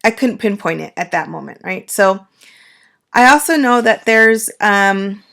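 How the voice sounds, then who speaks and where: A woman coach talks to her viewers in a soft, reflective way. Her voice is raspy from a recent cold and has a gravelly fry. She speaks slowly and pauses often. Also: mid-range voice, expressive. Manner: soft, unhurried and reflective, with many fillers. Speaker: astrology and life coach. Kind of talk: personal talk to camera.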